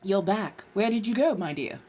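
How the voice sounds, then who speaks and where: A woman's voice: medium pitch, expressive, normal speed, a little mellow. A woman from California chatting casually as a livestream host, putting on a posh colonial American accent with affected, genteel phrasing. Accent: put-on posh colonial American. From California, United States. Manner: affected genteel phrasing, addresses listeners as dears and darlings.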